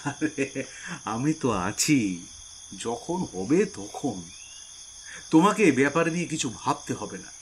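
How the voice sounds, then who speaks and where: A man voicing a calculating middle-aged uncle who speaks slowly and deliberately, in an audio drama. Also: mid-range voice, sing-song, slight background noise. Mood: smooth. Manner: slow, deliberate, drawn-out pauses.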